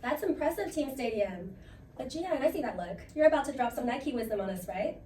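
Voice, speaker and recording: A woman's voice: sits high, lively intonation, fairly quick, warm tone, slight background noise. A woman hosting a scripted game show with playful, teasing banter. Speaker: game show host of a student role play. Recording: echoey, distant mic, music behind.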